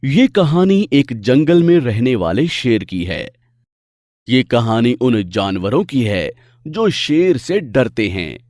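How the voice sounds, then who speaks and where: Slow, animated male storyteller. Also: medium pitch, dry recording, studio quality. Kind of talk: scripted children's fable narration.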